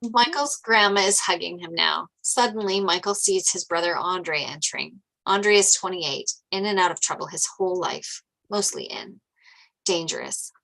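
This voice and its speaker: A woman's voice: lowish voice, gravelly, a bit bright, low-quality recording. A woman narrating stage directions from a screenplay at a table read.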